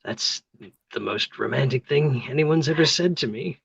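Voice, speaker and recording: A woman's voice: rumbling low, moderate pace, gravelly, slightly rough, dry recording, heavily acted. A woman playing a flustered, love-hungry neighbour of forty-two in a play, hesitant and theatrically torn. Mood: tempted, torn. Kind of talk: play table read.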